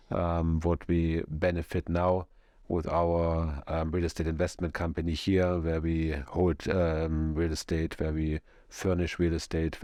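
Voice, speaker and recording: A man speaks in a podcast chat about his work. His low, dark voice barely rises or falls. The recording is dry and close. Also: no room sound. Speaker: co-owner of a real estate firm.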